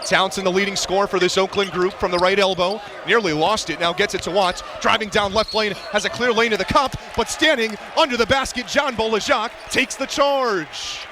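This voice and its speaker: A man's voice: sits high, fairly even intonation, moderate pace, few pauses. A man calling live basketball play-by-play in clipped, vivid running description, following the action moment by moment. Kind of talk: live sports commentary. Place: courtside in a large indoor arena. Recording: loud arena crowd noise behind voice. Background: crowd and bouncing basketball.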